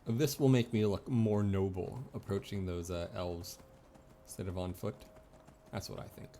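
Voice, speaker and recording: A man's voice: low-pitched, steady tone, moderate pace, warm tone, slight background noise. A man streaming a video game with casual, playful and sometimes sweary commentary.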